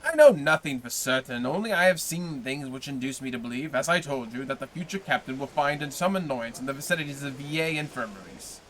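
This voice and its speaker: A man's voice: medium pitch, animated, fast talker, few pauses, a bit bright, a little hiss or hum, close to an everyday voice, low-quality recording. Man doing blustering, envious Caderousse. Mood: gossiping. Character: envious, gossipy male neighbor, blustering.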